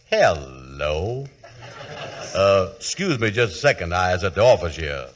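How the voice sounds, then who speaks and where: A man acting in a 1940s radio comedy as a boastful, lovestruck, slow-witted porter, drawling in an exaggerated folksy comic dialect. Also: sits low, big pitch swings, slight background noise. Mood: smug.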